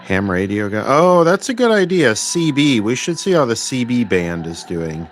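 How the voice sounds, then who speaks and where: A man hosting a casual livestream about electronics repair, thinking aloud in a halting, rambling way with dry, wry remarks. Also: mid-range voice. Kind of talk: casual unscripted livestream chat, reading and answering viewer requests. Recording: clear steady background noise.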